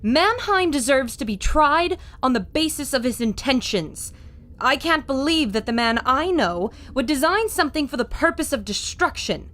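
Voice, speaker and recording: A woman's voice: high voice, animated, normal speed, a bit bright. An actress playing a loyal, spirited young woman adventurer, earnest and emphatic, in a pulp audio drama. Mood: indignant, earnest.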